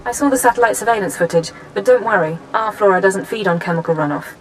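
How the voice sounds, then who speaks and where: An actress voicing a composed, brisk Imperial intelligence analyst in a video game, speaking crisply and briefing in a businesslike way. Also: female voice, mid-range voice, a little hiss or hum, natural voice, rough recording. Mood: matter-of-fact. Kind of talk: scripted video game dialogue.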